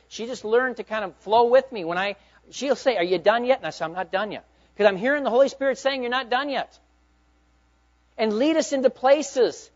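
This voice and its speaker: A middle-aged male pastor preaching a sermon, swinging from loud urging to hushed, quiet asides. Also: high voice. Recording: dull lo-fi sound.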